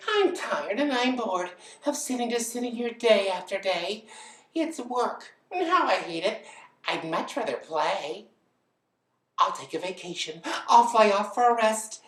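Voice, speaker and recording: A woman's voice: medium pitch, expressive, a little slow, fairly bright tone, roomy sound, voice pushed up. A woman voicing a lazy female bird who whines, wheedles and pleads in an exaggerated way for a children's story. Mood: bored, whiny.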